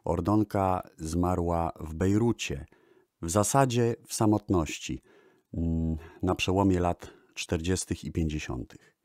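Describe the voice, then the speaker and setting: Low-voiced Polish man hosting, close and dry. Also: mellow, no room sound. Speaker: host of a music programme. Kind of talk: radio-style spoken introductions to old records.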